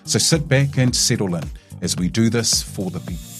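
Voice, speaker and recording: A man's voice: fairly low pitch, expressive, over loud music. New man hosting a podcast. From New Zealand. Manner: friendly teasing, halting restarts. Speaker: podcast host. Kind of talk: casual podcast interview.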